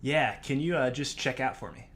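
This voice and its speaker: A man's voice: mid-range voice, normal speed, fairly bright tone. Casual man giving voice commands. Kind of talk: casual spoken requests.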